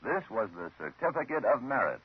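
A man's voice: medium pitch, big pitch swings, fairly quick, slightly rough. A man working as a radio announcer, reading a formal script in 1950s broadcast style. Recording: muffled old radio recording.

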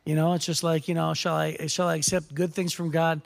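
A man with a raspy voice, a teaching pastor co-hosting a casual devotional podcast, telling stories with jokes. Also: a little high, little pitch movement, fairly quick, big-room sound. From United States. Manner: storytelling, jokey.

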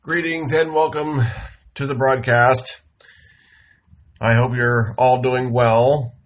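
Slow, wry man grumbling through a cold. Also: medium pitch. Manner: slow, wry deadpan storytelling with exasperated outbursts. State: congested from a head cold. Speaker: podcast host. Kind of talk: comic monologue. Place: home studio.

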